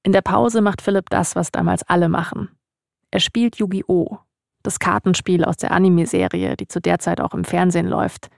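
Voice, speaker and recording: A woman's voice: on the low side, normal speed, vocal fry, darkish tone, no room sound, clean studio sound. A woman, narrating a scripted podcast as its host.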